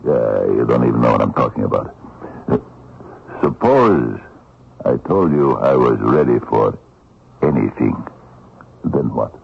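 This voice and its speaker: A bitter, boastful down-and-out thief in an old radio drama. His voice is very low and rough with husky gravel, and it swings widely as he scoffs, bullies and wheedles. The recording is dull and lo-fi. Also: sing-song, a little slow, pauses often, exaggerated voice. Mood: scornful, taunting. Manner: taunting, wheedling, muttered asides and scoffing. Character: scheming, bitter Russian vagrant thief, bullying and boastful.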